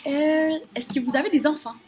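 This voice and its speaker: A woman's voice: high voice, steady tone, normal speed, darkish tone. A young adult woman student interviewing someone, asking her questions politely and hesitantly, with fillers. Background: music playing.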